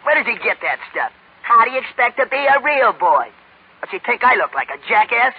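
A man's voice: extremely high pitch, sing-song, brisk, a little hiss or hum, heavily acted. An actor playing a cocky, tough-talking boy with slangy wise-guy talk, in 1930s radio style. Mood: scornful. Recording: muffled 1930s radio broadcast.

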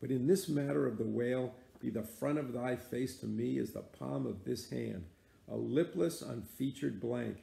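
A grim, obsessed old sea captain with a deep gravelly rasp and a dark, mellow tone, played by a man reading aloud. He commands his crew in archaic, solemn speech, and the voice sits in an echoey room. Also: moderate pace. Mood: brooding.

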